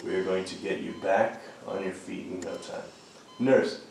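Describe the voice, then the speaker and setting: An actor playing an earnest, commanding hospital doctor in a radio thriller. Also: male voice, sits low, fairly even intonation, moderate pace, a little mellow. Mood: reassuring, measured. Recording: very echoey, distant mic, faint noise.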